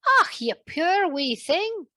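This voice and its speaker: A woman's voice: extremely high pitch, big pitch swings, slow pace, sharp tone, pitched above the performer's own voice, exaggerated voice. A woman voicing an affectionate, crooning castle cook with a Scottish accent for a storybook. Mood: fond. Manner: crooning, fond, teasing.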